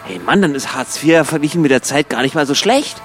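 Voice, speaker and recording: An actor playing an eager, puzzled drinking buddy in a German comic radio play, questioning insistently in colloquial speech. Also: male voice, a little high, animated, brisk, fairly bright tone, soft background music. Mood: puzzled, incredulous.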